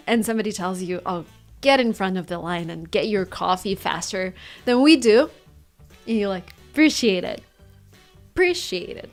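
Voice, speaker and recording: A woman with a Russian accent leads an online English lesson, with a voice that sits a little high. She acts out example phrases with big swings in intonation. Light background music plays under her voice. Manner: lively, demonstrating phrases with exaggerated intonation. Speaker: English teacher.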